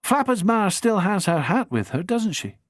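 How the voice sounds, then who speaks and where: A man voicing an inquisitive young woman who asks pointed, rapid questions. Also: sits high, very animated, dry recording, clean studio sound, voice pushed up. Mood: probing. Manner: probing, questions pile up quickly.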